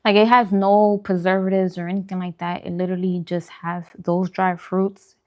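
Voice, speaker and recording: A woman's voice: fairly low pitch, level tone, moderate pace, darkish tone. A woman chatting casually as a vlog host, informal and conversational, often repeating phrases for emphasis. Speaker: host of a food and vlog channel. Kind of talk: casual eating-show chat answering viewer questions.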